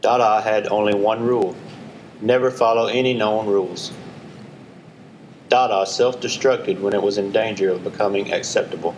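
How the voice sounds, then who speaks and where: A man delivers scripted educational narration. His voice sits somewhat low in pitch. There is noticeable noise behind him throughout the recording. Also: darkish tone, low-quality recording.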